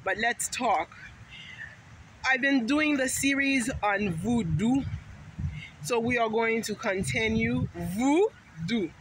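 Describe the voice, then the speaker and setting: A woman's voice: on the high side, expressive, takes it slowly. A middle-aged woman from Haiti, vlogging casually in a chatty, rambling, emphatic way while walking through a park. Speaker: vlogger and teacher. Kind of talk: casual talk to camera. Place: sunny city park outdoors. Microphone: phone held at arm's length. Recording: noisy outdoor phone recording.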